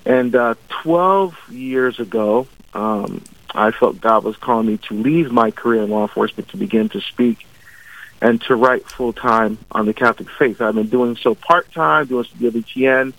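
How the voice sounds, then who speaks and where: A man's voice: mid-range voice, normal speed, gravelly, fairly bright tone, no room sound. A man in his fifties, a deacon from Barbados, talking about his faith and calling in a radio interview over the phone, restarting phrases as he goes. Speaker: deacon guest. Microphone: phone line. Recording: muffled phone-line interview audio.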